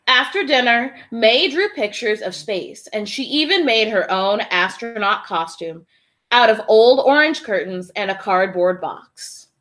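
A young woman reads a children's story with clear expression. Her voice sits a little high and moves up and down animatedly, with a bright, forward tone. She tells it deliberately, as a teacher would. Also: lively intonation. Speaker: teacher reading aloud to children. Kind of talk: storybook read-aloud. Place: small indoor room with classroom decorations. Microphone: webcam or laptop at arm's length.

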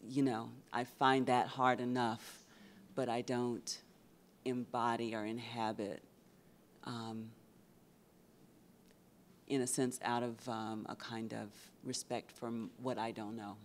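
A woman's voice: rumbling low, little pitch movement, a little hiss or hum. A middle-aged woman, a poet on a panel, answering thoughtfully and choosing her words with care and hesitation. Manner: measured, careful, hesitant word choice. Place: large theatre stage before an audience. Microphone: handheld microphone.

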